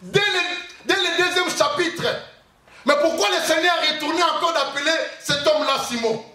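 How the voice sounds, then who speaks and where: A male pastor preaching a sermon in a church hall, emphatic, with bursts of shouting and lots of repetition. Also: extremely high pitch, normal speed. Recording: echoey hall, far from mic, faint noise.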